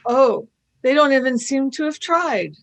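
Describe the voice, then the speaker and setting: A woman's voice: sits high, lively intonation, a little slow. A woman voicing an impatient, curious young female companion in a fan radio play, urging and asking questions.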